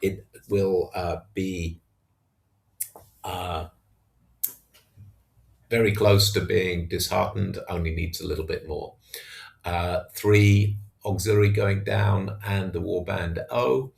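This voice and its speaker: Low-voiced man with a gravelly edge. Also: steady tone. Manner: hesitant, halting delivery. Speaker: tabletop wargamer narrating own battle report. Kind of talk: unscripted match recap commentary.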